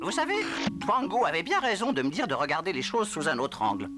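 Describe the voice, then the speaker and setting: High, swooping naive cartoon creature. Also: male voice, wildly swooping pitch, fast. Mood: newly confident. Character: naive, eager, self-doubting male cartoon creature. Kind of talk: French cartoon dubbing. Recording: loud music behind.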